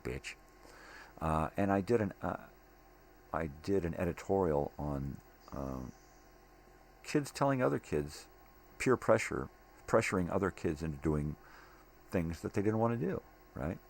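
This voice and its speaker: A man's voice: deep voice, sing-song, measured pace, long pauses. An older man rambling casually in a monologue, blunt, opinionated and given to swearing. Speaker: daily vlog host. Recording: clearly noisy home recording.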